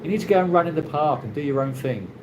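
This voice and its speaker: A British man hosting a casual live chat, reading out viewer comments and answering in a rambling, halting way with many restarts. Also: a little high, lively intonation, a little mellow. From Britain. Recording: noisy ambient background.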